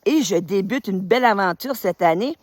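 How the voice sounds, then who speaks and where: A woman's voice: medium pitch, lively intonation, normal speed, no echo. A woman, welcoming followers to her spiritual group in a casual, rambling way, recorded outdoors on a phone. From New Brunswick, Canada. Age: 50s. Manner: warm, enthusiastic, rambling with many fillers. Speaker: retired teacher and spiritual group leader. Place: outdoors on a road in winter.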